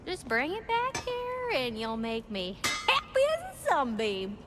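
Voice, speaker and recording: A woman's voice: sits high, huge pitch swings, measured pace, over loud music, exaggerated voice. A woman playing a chatty, sweet, eccentric tinkerer in a video game, with a Southern American accent. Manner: chatty, polite, colourful. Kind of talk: video game character acting.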